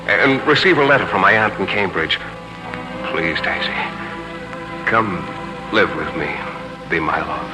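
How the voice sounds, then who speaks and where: A very low-voiced American war veteran, melancholy and earnest in the halting way he talks. It is 1940s radio drama, with clear music behind the voice on an old broadcast recording. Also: poor audio. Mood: pleading, tender.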